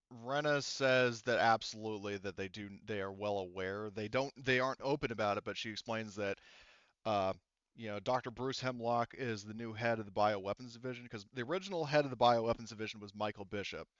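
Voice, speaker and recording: A man improvising tabletop game narration as game master, often halting and rephrasing mid-sentence. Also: lowish voice, a bit bright, smooth, no room sound, lo-fi sound.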